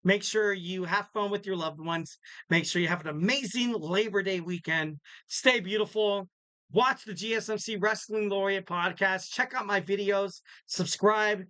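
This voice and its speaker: A man's voice: sits high, moderate pace, sharp tone, clear, resonant tone, no echo. A young man hosting a casual solo podcast, rambling and joking with lots of fillers and restarts. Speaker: podcast host.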